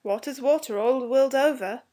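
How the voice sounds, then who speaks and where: Fairly high boyish voice, woman acting, brash, stubborn, bold young boy, storybook character. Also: normal speed, warm tone, lightly acted. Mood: cocksure. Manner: brash, commanding.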